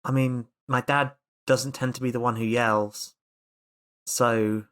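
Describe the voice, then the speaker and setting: A man's voice: mid-range voice, steady tone, slow pace, fairly bright tone. A man voicing an anxious, reluctant schoolboy, whiny and hesitant, in a tabletop game.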